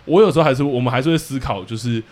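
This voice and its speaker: A man's voice: medium pitch, rapid-fire, darkish tone. A man with a Taiwanese Mandarin accent, hosting a podcast and interviewing guests in casual chat. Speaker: podcast host.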